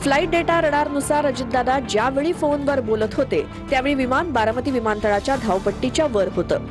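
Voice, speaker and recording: A woman's voice: sits high, a little fast. A woman reading a scripted news narration. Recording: voice-over with prominent music bed. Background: background music.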